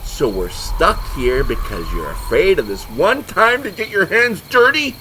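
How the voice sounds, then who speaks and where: An actor plays an exasperated male monster hunter in a comedy audio drama. His voice runs high and jumps around with sarcastic indignation. Outdoor noise sits clearly behind him. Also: big pitch swings, unhurried, pushed and bright, exaggerated voice. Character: exasperated, foul-mouthed brother in monster hunting trio.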